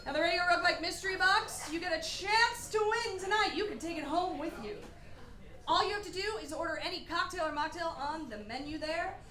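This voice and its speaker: A woman's voice: very high voice, brisk. A woman hosting a live show in a playful, exuberant, theatrical way, hyping up the audience. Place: tavern stage before a live audience. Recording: roomy live venue with music, crowd.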